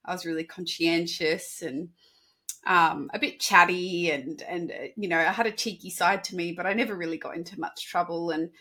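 Bright, chatty Australian woman. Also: lowish voice, moderate pace, husky. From Sydney, Australia. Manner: chatty, animated, with laughs and restarts. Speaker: dietitian, podcast guest. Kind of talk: casual interview talk.

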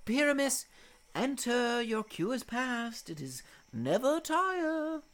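A woman's voice: fairly high pitch, sing-song, takes it slowly, fairly bright tone, full character voice. A woman playing an exasperated, bossy director of amateur players, emphatic and scolding, in a Shakespearean audio drama.

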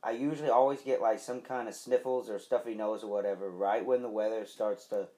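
A man's voice: lowish voice, fairly even intonation, fairly quick, dark tone. A man co-hosting a wrestling podcast in casual chat, a bit stuffy with a lingering dry cough. He talks in a laid-back, hesitant way, full of "um" and "like". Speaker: podcast co-host.

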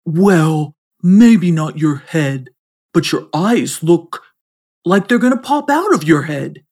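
A woman's voice: sits low, expressive, slow, darkish tone, breathy edge, heavily acted. A woman doing a teasing, mischievous boy. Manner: teasing, laughing. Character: mischievous playful young boy. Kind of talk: storybook reading.

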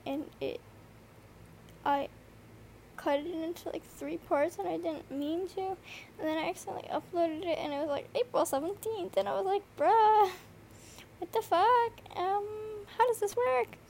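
A woman's voice: sits high, creaky, darkish tone, slight background noise. A teenage girl with a slight lisp, tired and rambling through a casual solo podcast, swearing often and full of fillers. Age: teens. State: tired from lack of sleep. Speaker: podcast host. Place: noisy bedroom. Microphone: earbud microphone.

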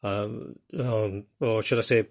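An older man with a Geordie accent from northeast England, hosting his podcast in a rambling, self-deprecating way with many pauses and fillers. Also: fairly low pitch, steady tone, vocal fry. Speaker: podcast host. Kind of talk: casual monologue.

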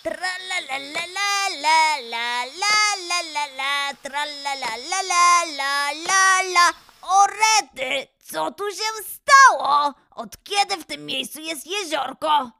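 A woman's voice: high-pitched, expressive, takes it slowly, gravelly, bright, forceful tone, heavily acted. A woman voicing a curious, kind-hearted little boy lion in a children's audio fairy tale, exclamatory and wide-eyed. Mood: surprised, concerned.

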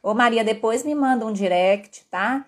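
A woman's voice: fairly high pitch, normal speed. A woman doctor with a Brazilian accent from Pará, mixed with Northeastern tones, casually answering viewer questions on a live stream. She explains things in a friendly way and keeps checking in with "tá?" and "né?". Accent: Brazilian Portuguese from Pará, mixed with Northeastern. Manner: friendly and explanatory, peppered with "tá?" and "né?" tags. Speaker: endocrinologist doctor hosting a live Q&A.

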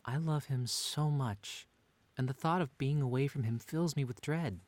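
A man's voice: medium pitch, normal speed, a little mellow, dry recording, close to an everyday voice. A man playing a playfully bickering, doting stay-at-home dad in an audio drama, wry but earnest. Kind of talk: two-hander audio drama reading.

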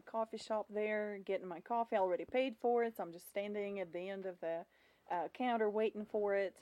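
A woman's voice: mid-range voice, warm tone. A woman from Russia, a podcast guest with a Russian accent and a Southern US twang, telling stories in casual conversation.

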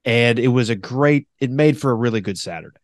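A man with a deep voice hosting a casual podcast, animated and quick to mock radio announcers in a high voice. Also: no echo, studio quality. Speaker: podcast host; former radio host.

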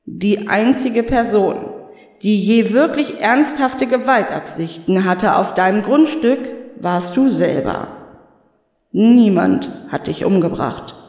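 A man voicing Death, slow and calm. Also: sits high, heavily acted. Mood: calm stern. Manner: calm, measured, dryly stern. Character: Death, calm patient male spirit. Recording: echoey room with long reverb.

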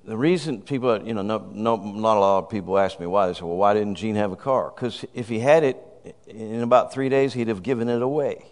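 A man's voice: on the low side, a little fast, hardly any pauses. A man in his fifties preaching a sermon, exuberant and calling out praise. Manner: exuberant, calls out praise and shouts "woo". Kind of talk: sermon with a congregant. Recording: reverberant hall.

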